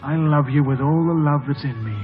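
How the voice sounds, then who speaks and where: Brooding, tormented young stable hand, played by an actor. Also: male voice, a little high, a little fast, natural voice. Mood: pleading. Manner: intense, theatrical, bitter. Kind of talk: mid-century radio drama acting. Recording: dull old radio recording with music.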